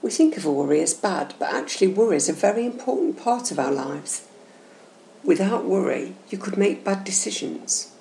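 Female meditation host, soothing instructional delivery, gravelly fry in the voice, slight background noise. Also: medium pitch, expressive. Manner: gentle, measured, instructional. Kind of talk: slow, soothing guided meditation narration. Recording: roomy echo, faint background noise.

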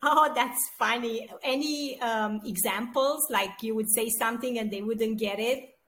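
Co-host: woman, high voice, bubbly and giggly, video-call sound. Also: unhurried, fairly bright tone. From Romania. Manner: bubbly, laughs often. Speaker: podcast co-host. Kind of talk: casual interview chat.

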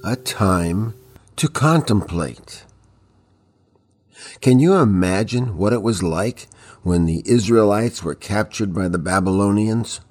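A man's voice: on the low side, lively intonation, slow pace, darkish tone. A man delivering a scripted devotional teaching, exhorting listeners with reflective rhetorical questions.

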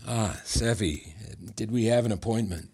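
A man's voice: on the low side, moderate pace, a little mellow, slightly rough. Condescending, manipulative father-figure mentor. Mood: smooth, paternal. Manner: patronising, articulate, controlling. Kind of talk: audio drama acting.

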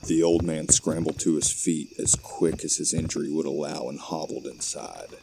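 A man's voice: rumbling low, steady tone, moderate pace, creaky. A man reading scripted narration for a horror audio play, with night insects behind him. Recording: faint background noise.

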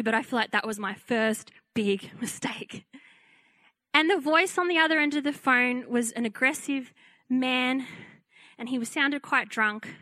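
High-voiced woman preacher, bright tone. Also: no echo. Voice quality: fairly high-pitched. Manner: animated storytelling, acting out quoted voices. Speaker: pastor. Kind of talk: testimony sermon.

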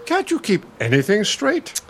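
A man's voice: sits high, huge pitch swings, moderate pace, fairly bright tone, full character voice. A man playing an irritable elderly bookish husband in a radio play, testy, condescending and sardonic. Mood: exasperated. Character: irritable elderly bookish husband, pompous retired college dean.

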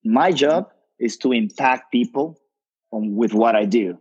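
Man, mid-pitched, earnest rambling delivery full of "you know, like", dull lo-fi call audio. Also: animated, a little slow, a little mellow, breathy edge. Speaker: rum brand ambassador. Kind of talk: panel discussion.

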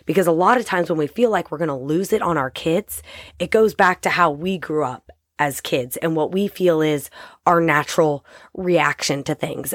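Raspy woman w a cold, low voice, hosting her little pep talk podcast, super dry close sound. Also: dry recording. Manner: warm encouraging, speaks straight to listener. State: hoarse from a cold. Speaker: podcast host. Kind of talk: short motivational podcast talk.

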